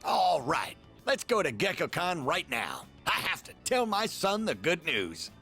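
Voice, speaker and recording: A man's voice: a little high, very animated, measured pace, bright, forceful tone, soft background music, exaggerated voice. An actor voicing a spirited old shopkeeper and proud father in a video game. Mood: excited. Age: older.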